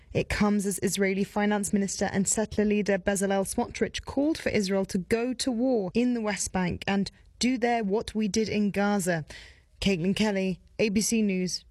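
A woman correspondent delivering a scripted news report in a crisp, brisk way. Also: mid-range voice, darkish tone, no room sound. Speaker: foreign correspondent.

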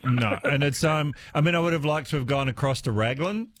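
A man hosting a talkback radio quiz, chatty and animated, laughing easily with callers. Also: mid-range voice, a little fast. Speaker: radio host.

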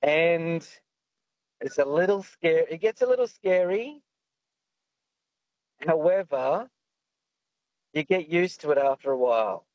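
A man's voice: on the high side, animated, unhurried, pauses often, no room sound. A man calling a radio show by phone, chatting casually in a camp, familiar, teasing manner. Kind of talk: casual chat. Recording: dull phone call.

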